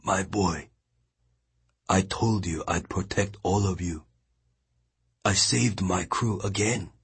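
Audiobook: male narrator as dying old captain, husky, soft, pressing. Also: deep voice, slow pace, pitched above the performer's own voice, exaggerated voice. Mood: urgent.

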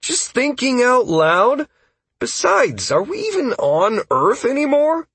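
A man voicing an excitable, reckless and cheerful male adventurer with eager energy in an audiobook. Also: very high-pitched, slow pace, husky, dry recording, pitched above the performer's own voice, full character voice. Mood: musing.

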